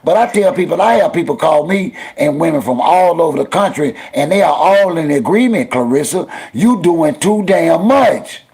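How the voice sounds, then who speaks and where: A man's voice: a little high, expressive, moderate pace, vocal fry, hardly any pauses, a little mellow, husky. A man in his fifties hosting a call-in talk show, talking in an opinionated, emphatic way. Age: 50s.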